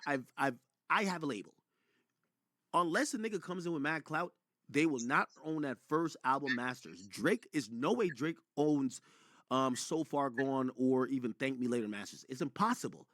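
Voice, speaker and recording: A man's voice: on the high side, a bit bright, no room sound, studio quality. A man giving emphatic, opinionated, profanity-laced live commentary as a streamer talking to his chat. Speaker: streamer commenting on rap news; record label owner.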